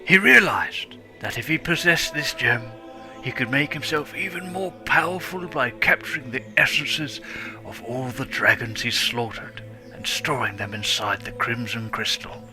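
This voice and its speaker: A man voicing a wise old male fire dragon mentor, telling the legend in a solemn, measured way, with music underneath. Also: mid-range voice, lightly acted. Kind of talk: dramatic script reading.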